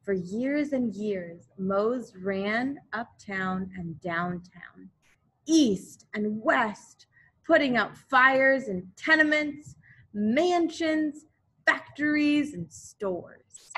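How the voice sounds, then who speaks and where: A woman teacher reading a children's storybook aloud expressively and deliberately, pausing to explain things to young listeners. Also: fairly high pitch, lively intonation, fairly bright tone, husky, rough recording. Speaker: elementary school teacher.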